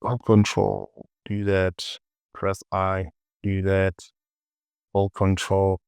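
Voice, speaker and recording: Male tutorial presenter, unhurried and slow, dry close sound, thinking aloud in short clipped phrases. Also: deep voice, completely flat delivery, gravelly, darkish tone, smooth, no echo. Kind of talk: casual screen-recorded software tutorial, thinking aloud.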